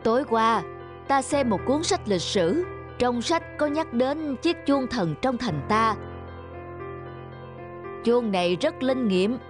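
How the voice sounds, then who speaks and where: A stern, shrewd magistrate acted by a woman in a cartoon folk tale. The delivery is formal and measured, in a fairly high voice with music behind it. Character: stern, shrewd male magistrate of old Vietnam.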